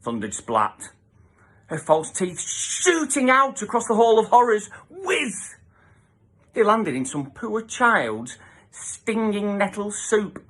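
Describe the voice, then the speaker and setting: A man's voice: high-pitched, huge pitch swings, slow, fairly bright tone. A man reading a children's story aloud as a theatrical narrator, with emphatic sound-effect words. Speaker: storybook reader.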